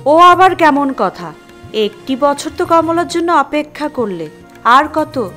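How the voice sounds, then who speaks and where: Practical, insistent mother played by a woman, matter-of-fact scolding, high animated pitch, radio drama over faint music. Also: slow pace, full character voice. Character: firm, practical ageing mother arranging her son's marriage. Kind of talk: Bengali audio drama acting.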